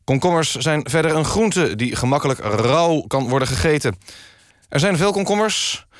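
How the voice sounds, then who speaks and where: A man hosting a satirical radio news magazine, presenting mock-serious news in a deadpan manner. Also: fairly high pitch, moderate pace, dry recording, clean studio sound. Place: radio studio.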